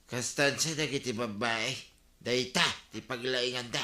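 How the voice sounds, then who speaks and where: A man's voice: medium pitch, unhurried, sharp tone. A man playing a boastful, grumbling married villager in an Ilocano radio comedy, with an Ilocano Filipino accent.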